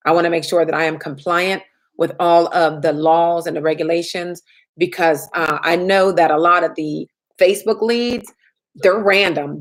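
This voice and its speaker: A woman insurance trainer answers viewer questions in a chatty live stream. Her voice sits a little low and has a slight rasp from lack of sleep.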